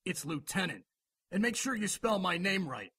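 A blunt, official police lieutenant in a radio drama. His voice is a bit high, forceful and husky, with a firm, dry wit. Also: sing-song, moderate pace, dry recording, full character voice.

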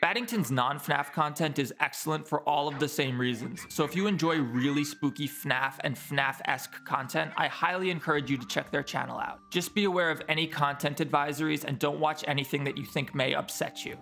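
A man's voice: on the high side, fairly quick, creaky, few pauses, pushed and bright, a little hiss or hum. A man delivering scripted video essay narration in an articulate, explanatory manner. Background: background music.

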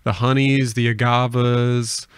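A young man with a medium-pitched, creaky voice hosts a casual chat. He is recorded close and dry on a podcast microphone. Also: level tone, slow pace, no room sound. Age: young adult. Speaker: podcast host. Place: small home room with bookshelves. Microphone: podcast microphone on a boom arm.

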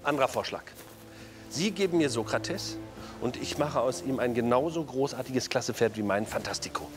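An actor playing a slick, pushy, greedy horse dealer in a children's audio drama. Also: male voice, mid-range voice, lively intonation, normal speed, over music, lightly acted. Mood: slick, persuasive.

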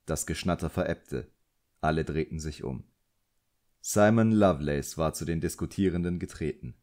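Deep mellow guy reading a novel. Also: moderate pace. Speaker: audiobook narrator. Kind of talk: scripted literary narration.

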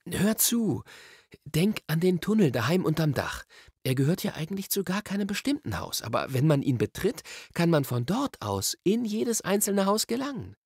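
A man voicing an eager, curious, bossy young schoolboy in a storybook, explaining things with impatient excitement. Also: high voice, normal speed, breathy edge, no echo, pitched above the performer's own voice, heavily acted.

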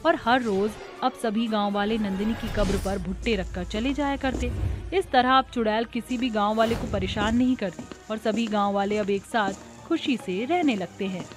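A woman's voice: medium pitch, normal speed, music in the background. A woman reading scripted narration for a cartoon story as its storyteller.

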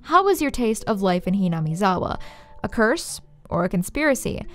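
A woman's voice: mid-range voice, very animated, moderate pace. A woman hosting a scripted video essay, narrating in a wry, playfully sarcastic way. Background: faint background music.

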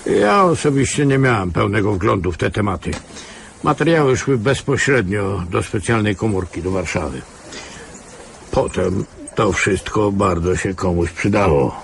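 Radio drama: man playing retired intelligence colonel, older, low gravelly voice, condescending and guarded, faint noise behind. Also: expressive, moderate pace. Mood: wry, condescending. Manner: wry, condescending.

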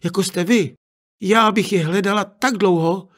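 A man's voice: sits high, fairly bright tone, pitched above the performer's own voice. A man voicing a brave, devoted young girl in a fairy tale read aloud, earnest and pleading. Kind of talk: storybook reading.

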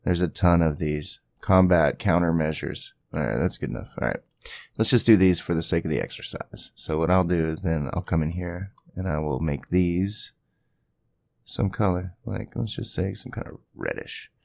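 A man hosting an informal screen-recording software tutorial, rambling a little as he thinks aloud. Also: low-pitched, moderate pace, gravelly, no room sound. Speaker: tutorial host.

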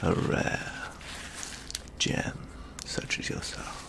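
Casting: young male actor as doting, pompous model collector for ASMR; gravelly whisper, extremely slow, precise formal delivery. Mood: pleased, savouring. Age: young adult. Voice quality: breathy, half-whispered, gravelly. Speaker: ASMR roleplay performer. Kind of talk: soft-spoken ASMR roleplay. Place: small indoor studio, close up.

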